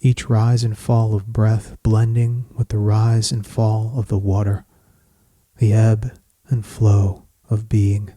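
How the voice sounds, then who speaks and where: Slow, mellow man guiding meditation. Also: fairly low pitch, flat delivery, no room sound. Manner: measured, soothing, solemn, with long pauses. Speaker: meditation guide. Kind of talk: guided meditation, scripted poetic narration.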